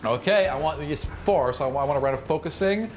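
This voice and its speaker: A man's voice: on the high side, animated, quick pace, a little hiss or hum. A man from the United States acting as game master, improvising vivid, dramatic narration for a tabletop fantasy game in colloquial language.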